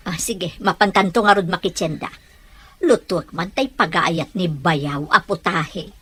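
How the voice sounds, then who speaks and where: A woman's voice: on the low side, unhurried, a bit bright, husky. A woman voicing a middle-aged wife who gets ready to cook for family visitors. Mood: homely.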